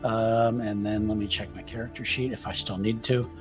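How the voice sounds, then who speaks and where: A man's voice: lowish voice, steady tone, moderate pace, faint music behind. A man taking part as a player in a tabletop game, talking casually and hesitantly. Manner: hesitant, lots of fillers.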